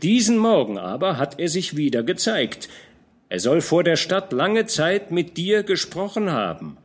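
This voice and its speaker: Shrewd fatherly old field captain read by a man, knowing, admonishing, lively rising pitch. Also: a little slow. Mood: probing.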